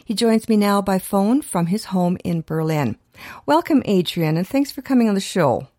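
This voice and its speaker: A woman's voice: medium pitch, expressive, normal speed, darkish tone, no echo, studio quality. A woman hosting a radio show, reading a scripted introduction and then interviewing a guest. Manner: reads intro clearly, then chatty with small laughs.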